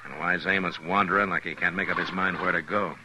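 An actor playing a weary, resolute US marshal in a 1950s radio western, with an American accent and terse, authoritative replies. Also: male voice, deep voice, fairly even intonation, quick pace, close to an everyday voice. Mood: watchful, serious. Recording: old radio recording with faint noise.

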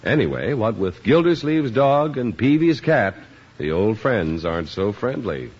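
A man announcing a 1950s radio show with smooth, genial scripted delivery. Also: lowish voice, very animated, unhurried, darkish tone. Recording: muffled old radio broadcast.

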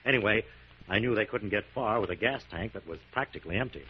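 A man's voice: fairly low pitch, quick pace, breathy edge. An actor playing a composed, self-assured male detective in a 1940s radio drama. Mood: matter-of-fact. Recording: muffled, noisy 1940s radio recording.

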